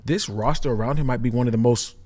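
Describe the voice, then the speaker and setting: A man who is a former pro football player, talking energetically on a sports podcast and tagging his points with quick questions. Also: medium pitch. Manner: energetic, repeats himself, peppers lines with "right?". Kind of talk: casual sports podcast chat.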